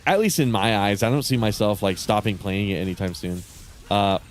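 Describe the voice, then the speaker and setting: A man streaming a game and chatting casually, sounding congested and nasal, rambling with frequent swearing. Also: medium pitch. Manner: rambling, swears often, laughs between thoughts. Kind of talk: casual chat while livestreaming a game.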